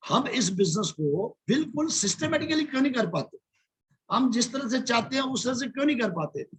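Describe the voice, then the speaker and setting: High, husky man as a business mentor. Preachy motivational talk, rhetorical questions, lots of repetition. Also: a bit bright, rough recording. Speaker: business mentor leading a team meeting.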